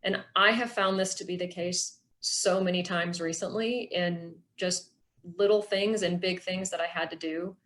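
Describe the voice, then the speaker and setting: A middle-aged woman presenting a workshop with personal reflection, explaining things in an earnest, measured way. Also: lowish voice, fairly even intonation, fairly bright tone.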